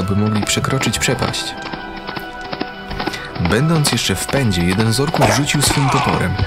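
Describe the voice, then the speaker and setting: Male narrator for fantasy audio drama: low-pitched, dramatic scripted read over prominent music.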